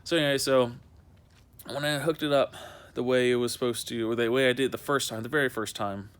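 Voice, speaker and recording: A man's voice: medium pitch, fairly even intonation, a little fast, a bit bright, clear, resonant tone. A young man talking in a casual, unscripted how-to vlog, rambling and repeating himself in a conversational way. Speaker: amateur video maker showing a car project.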